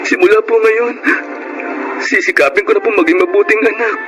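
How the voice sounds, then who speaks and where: A man's voice: high-pitched, fairly quick, close to an everyday voice. A man playing a remorseful, respectful stepson in a Filipino radio drama, speaking in an apologetic, deferential way. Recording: background music under the voice.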